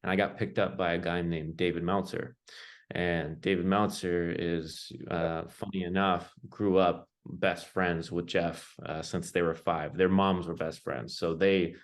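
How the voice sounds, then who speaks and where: Young man, low voice, interview guest, casual storytelling, informal phrasing. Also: flat delivery, measured pace. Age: young adult. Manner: informal, loose phrasing peppered with "like" and "you know".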